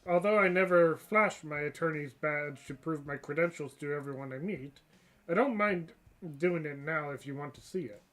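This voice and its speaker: A stuffed-up channel host reading the part of an evasive, dryly self-assured young female lawyer in a game story, giving cool, deadpan excuses. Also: medium pitch, normal speed, pitched below the performer's own voice, close to an everyday voice. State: stuffed-up nose.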